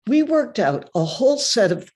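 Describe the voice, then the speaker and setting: A woman giving a measured, deliberate dramatic reading of a first-person story. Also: fairly high pitch, big pitch swings, a little mellow. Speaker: reader.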